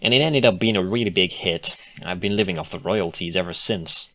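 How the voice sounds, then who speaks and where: A man's voice: sits low, brisk, a bit bright. A man voicing a defensive, laid-back female cartoon unicorn, grumbling with sardonic reluctance in a storybook reading. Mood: reluctant.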